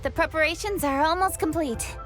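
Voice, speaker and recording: A woman's voice: sits high, a little fast, music in the background, lightly acted. An actress playing a diligent, strong-willed young female official in a video game, businesslike and earnest. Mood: friendly. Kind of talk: video game cutscene dialogue.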